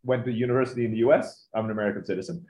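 A man's voice: medium pitch, fairly even intonation, very fast, darkish tone. A man in his forties, raised in Turkey, chatting easily as an interview guest with a self-deprecating manner, on an echoey, dull-sounding remote line. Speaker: guest, business owner. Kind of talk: casual interview talk.

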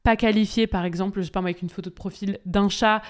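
A woman's voice: medium pitch, fairly even intonation, fast. A young woman hosting a casual solo podcast, giving direct, chatty answers full of fillers as a business coach. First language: French. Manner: chatty, direct, many fillers, talks straight to listeners. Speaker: podcast host, social media business coach.

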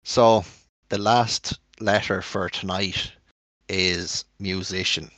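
A young Irish man co-hosting a casual podcast, relaxed as he moves the chat from one topic to the next. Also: deep voice, takes it slowly, dry recording. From Ireland. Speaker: podcast co-host leading the segments.

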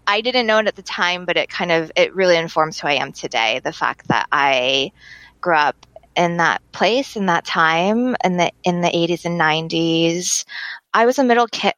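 A woman's voice: deep voice, fairly bright tone, no room sound, poor audio. A middle-aged American woman from Massachusetts talking casually about herself as an interview guest. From Massachusetts, United States. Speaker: interview guest, design gallery owner.

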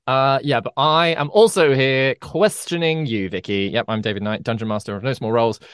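A man's voice: mid-range voice, fairly even intonation, fairly quick, fairly bright tone, clean tone, no room sound. A man who runs the game co-hosts a tabletop game podcast, chatting casually with lots of fillers and playful teasing. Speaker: dungeon master and podcast co-host.

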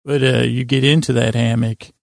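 Very creaky, rambling man. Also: mid-range voice, flat delivery, dry recording, studio quality. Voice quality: heavily creaky, gravelly. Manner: meandering, halting, digressive. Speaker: podcast host. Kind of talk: rambling bedtime sleep podcast monologue. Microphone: close podcast microphone.

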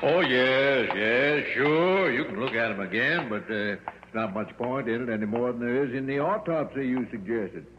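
An actor playing a folksy, easily startled small-town doctor in a 1960s radio drama, deferential and excitable. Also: male voice, medium pitch, normal speed, over music, close to an everyday voice. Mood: reluctant. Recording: muffled old radio recording, faint noise.

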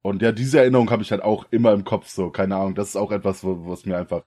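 A young man from the co-hosting a casual podcast, slangy and relaxed, often laughing. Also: fairly low pitch, quick pace. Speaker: podcast co-host. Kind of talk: casual chat.

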